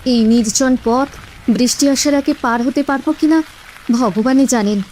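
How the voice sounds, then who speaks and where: A woman's voice: sits high, moderate pace, a little mellow, slight background noise, lightly acted, rough recording. A woman playing an anxious, fretful village wife who keeps cautioning, in a ghost story cartoon.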